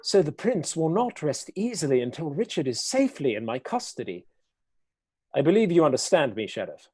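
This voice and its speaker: A commanding villainous earl speaks in a dark, mellow voice whose pitch swoops widely. He is smooth, cold and condescending, a schemer in a radio play. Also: sing-song, moderate pace. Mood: scheming.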